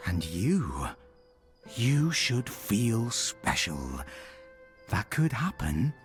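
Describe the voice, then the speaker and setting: An actor playing a creepy, fawning, self-abasing scavenger peddler in a video game, speaking in a lingering, obsequious way. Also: male voice, lowish voice, big pitch swings, soft background music, full character voice. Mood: eerie, pleading. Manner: slow, lingering, obsequious, repeats words, chuckles. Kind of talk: video game character dialogue.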